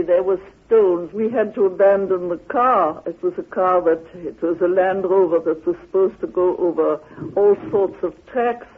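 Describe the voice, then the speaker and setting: A woman recounting an expedition in an emphatic, halting way, as a spoken anecdote. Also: on the low side, husky. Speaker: explorer and theorist recounting her expedition. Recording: tinny band-limited old radio recording.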